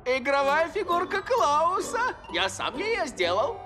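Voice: high-pitched, wildly swooping pitch, measured pace, over music, full character voice. An actor voicing an eager, naive and goofy young male footballer in a cartoon dub, with a cheeky manner. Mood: proud, teasing. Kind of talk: cartoon dubbing.

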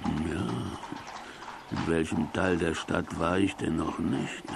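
A man's voice: low-pitched, steady tone, slow pace, gravelly, noisy background, exaggerated voice. A man playing a bumbling but kind-hearted sidekick in a children's audio drama, hesitant and simple-minded.

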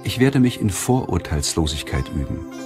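A man reading a self-help audiobook as narrator, in measured, deliberate phrasing. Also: sits low, very animated, music in the background.

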